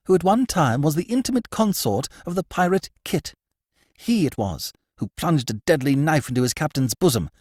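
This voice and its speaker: A man's voice: on the high side, a little mellow, no room sound, studio quality, voice pushed up. A man reading a story, voicing a veiled, agitated noblewoman with a piercing, urgent and imperious manner. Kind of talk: audiobook character voice.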